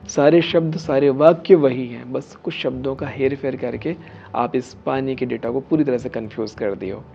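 Earnest man teaching, gravelly and warm. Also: medium pitch, fairly even intonation, moderate pace, lo-fi sound, slight background noise. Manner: earnest, explanatory, rising emphasis on key phrases, drops to whispers. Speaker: spiritual healer and video host. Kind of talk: instructional talk to camera. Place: studio set with dark curtain and statue.

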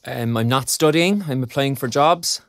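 A man's voice: mid-range voice, moderate pace, fairly bright tone, no echo, clean studio sound, lightly acted. A male actor playing a preoccupied young graduate in an audio drama, with an Irish accent and a hesitant, self-deprecating manner. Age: young adult. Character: wry, preoccupied young arts graduate job-hunting. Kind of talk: naturalistic audio drama acting.

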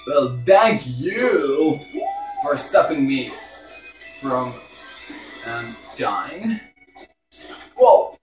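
Excitable man gaming, roomy distant mic. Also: mid-range voice, extremely slow, long pauses. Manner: excitable, exclaiming, swearing in frustration. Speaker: gaming video host. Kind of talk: casual live gameplay commentary. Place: indoor room in front of a television. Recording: roomy, distant mic, game sound behind. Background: faint game music from television.

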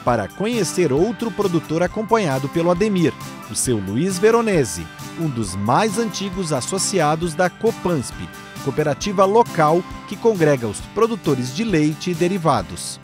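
A man reading a scripted news voice-over about farming, with a measured delivery. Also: on the high side, big pitch swings. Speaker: reporter narrator. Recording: voice-over with guitar music behind.

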